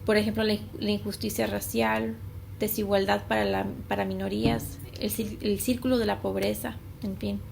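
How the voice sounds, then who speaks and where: A woman's voice: mid-range voice, little pitch movement, normal speed, creaky, a little mellow. A woman raised in Ecuador and the United States, a guest giving careful, prepared answers and stumbling on longer words. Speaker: guest, consultant. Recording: noticeable background noise.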